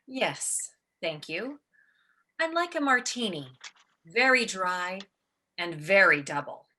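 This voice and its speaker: An actress playing a jealous, imperious ageing stage star in a radio play, with a biting, theatrical sarcasm. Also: female voice, mid-range voice, very animated, takes it slowly, sharp tone, heavily acted. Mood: acid, dry.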